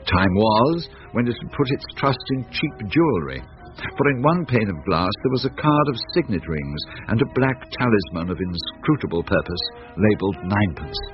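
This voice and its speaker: A man with an English accent narrating a story in a measured, literary, dramatic style. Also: lowish voice, sing-song. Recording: muffled, faint music behind.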